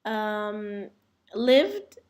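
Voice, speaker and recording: A woman's voice: medium pitch, very animated, extremely slow, darkish tone. A woman speaking as a student in class, answering and reading aloud indoors.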